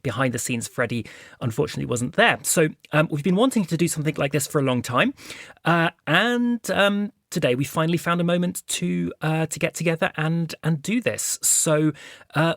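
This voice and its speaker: A man's voice: on the high side, expressive, normal speed, a bit bright, no room sound, clean studio sound. A man hosting a casual behind-the-scenes chat in a jokey, digressive way. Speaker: podcast host and audio drama writer.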